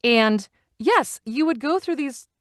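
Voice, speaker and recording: A woman's voice: high-pitched, animated, slow pace, no room sound, studio quality. A middle-aged woman talking casually as an interview guest, telling stories and acting out the lines she quotes. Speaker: guest, actor and audiobook narrator.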